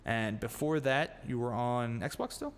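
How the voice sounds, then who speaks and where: A man's voice: mid-range voice, sing-song, measured pace. A man hosting a casual podcast, joking and teasing his guest and swearing freely. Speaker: podcast host. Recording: long room reverb, faint noise.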